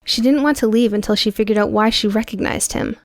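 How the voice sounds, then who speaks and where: A woman reading scripted audiobook prose in a measured, dramatic storytelling manner. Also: a little high, no room sound. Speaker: audiobook narrator.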